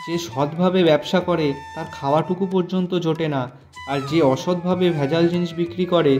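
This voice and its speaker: Man playing an earnest, higher-voiced honest shopkeeper. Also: fairly even intonation, a little fast, a little mellow, faint music behind, close to an everyday voice. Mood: despairing. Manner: earnest, pleading. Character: honest, gentle, struggling male village shopkeeper. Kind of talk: cartoon voice acting.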